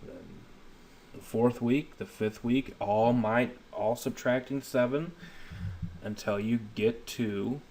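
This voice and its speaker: A man's voice: medium pitch, steady tone, slow pace, darkish tone. A man in his thirties giving an informal, plainspoken Bible study lesson, explaining step by step. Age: 30s. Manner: plainspoken, step-by-step, repeatedly checking understanding. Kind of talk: informal explanatory lecture with scripture reading. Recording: noisy homemade voice-over recording.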